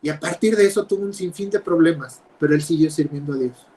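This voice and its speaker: A young man preaching a sermon over a video call, emphatic, with loud stresses and quiet drops. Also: a little high, fast, slight background noise, rough recording. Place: home, speaking over videoconference.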